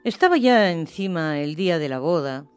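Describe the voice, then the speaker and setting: A woman narrating a literary radio play in an expressive storytelling style. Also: low-pitched, normal speed, clear, resonant tone, no room sound. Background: music.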